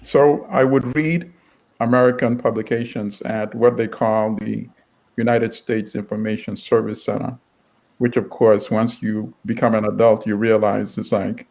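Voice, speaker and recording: A middle-aged man from Uganda, a publisher telling his story in an interview in a measured, wry way with frequent restarts. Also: fairly low pitch, flat delivery, a little mellow, low-quality recording.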